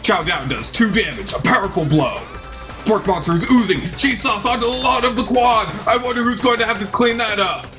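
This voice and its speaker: A man's voice: high voice, animated, a little fast. A man narrates a dating simulator playthrough, reading the on-screen story aloud. His delivery is theatrical and dramatized, and music plays underneath.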